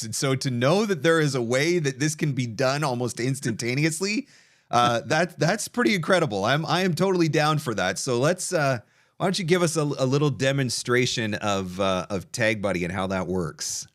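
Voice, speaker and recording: A man's voice: mid-range voice, fairly bright tone. A man hosting a live online session in a casual, chatty and enthusiastic way. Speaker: host of an online demo session.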